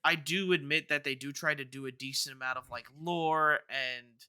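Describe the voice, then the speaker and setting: Male co-host, strikingly bright voice, dry close sound, casual stop-and-start podcast chat. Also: fairly high pitch, fairly even intonation, moderate pace, smooth, dry recording.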